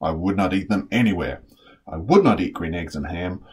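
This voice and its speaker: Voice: sits low, animated, moderate pace, a little mellow, breathy edge, exaggerated voice. A performer voicing a grumpy, stubborn old male grouch with curmudgeonly, emphatic refusals in a picture-book read-aloud.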